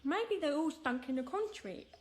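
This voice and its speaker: A woman's voice: high-pitched, expressive, moderate pace, a little mellow. A woman playing a curious, blunt and persistent deaf schoolgirl in a naturalistic radio drama.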